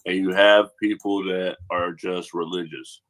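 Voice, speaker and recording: Male panelist with a lowish voice, careful and hesitant when answering. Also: flat delivery, a bit bright. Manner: measured, hesitant. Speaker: panel guest. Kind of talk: panel discussion.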